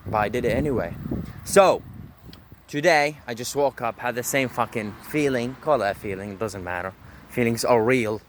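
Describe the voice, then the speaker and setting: A swaggering man, cursing and bragging as he rambles to his own camera. His voice sits at an ordinary middle pitch. He speaks out on a city street, with faint traffic humming behind him. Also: normal speed. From Syria. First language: Arabic. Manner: brash, profane, boastful. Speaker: vlogger. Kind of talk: rambling self-talk monologue.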